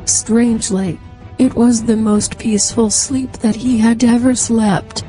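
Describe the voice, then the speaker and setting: A woman narrates a fairy tale at a slow, measured pace. Her voice sits fairly high, and light music plays behind her storytelling. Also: fairly even intonation, dark tone.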